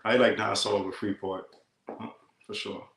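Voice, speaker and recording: An easygoing young man with a gravelly edge to his voice. He talks in a relaxed, chatty way, recorded in an echoey room. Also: lowish voice, normal speed. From Bahamas. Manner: easygoing, says "man" often. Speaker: guest. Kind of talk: casual interview chat.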